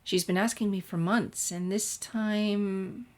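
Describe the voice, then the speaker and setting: A woman's voice: medium pitch, steady tone, slow, a little mellow, natural voice. A woman voicing a studious, polite teenage girl who speaks earnestly in a story.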